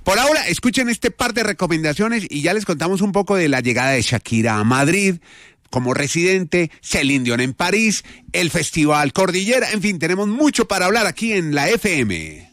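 A man hosting a radio show in a studio, talking in a brisk, chatty way. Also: high voice, very animated, a bit bright, dry recording. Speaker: radio host. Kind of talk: casual radio chat.

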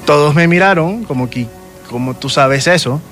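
A man's voice: fairly high pitch, moderate pace, a bit bright, soft background music. A man with a Venezuelan accent, a guest telling personal stories conversationally and often checking that the listener follows. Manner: frequent check-in tags. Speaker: guest, self-described medium.

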